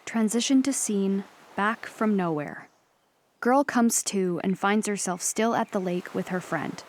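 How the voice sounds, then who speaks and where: A woman reading stage directions as the measured, neutral narrator of an audio play. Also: medium pitch, lightly acted. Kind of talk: scripted narration.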